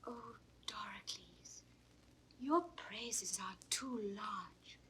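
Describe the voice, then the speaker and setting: A woman playing a gentle, ardent young shepherdess in classical Shakespearean verse, with a British RP accent and lyrical, carefully enunciated delivery. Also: mid-range voice, drawn-out words, very noisy. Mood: soft, shy. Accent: British Received Pronunciation.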